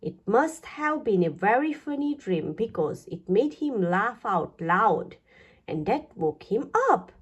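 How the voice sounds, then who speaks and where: Female children's story reader, medium pitch, husky edge, unhurried and expressive delivery. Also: dull audio. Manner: expressive, deliberate phrasing for young listeners. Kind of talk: read-aloud children's storytelling.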